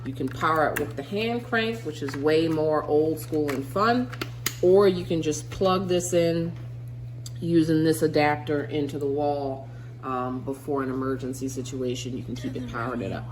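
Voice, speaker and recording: A woman's voice: low-pitched, moderate pace, slight background noise. A woman giving a casual, upbeat product demonstration in a chatty way. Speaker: product reviewer. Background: music.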